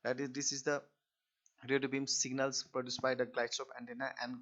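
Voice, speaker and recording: Man lecturing haltingly on a dull recording. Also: medium pitch, little pitch movement, fairly bright tone. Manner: halting, repetitive phrasing with restarts. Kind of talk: explanatory technical lecture.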